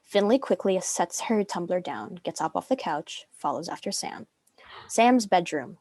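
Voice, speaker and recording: A woman's voice: fairly low pitch, very animated, unhurried, a little mellow, no echo. A young woman reading screenplay stage directions as a narrator. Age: young adult.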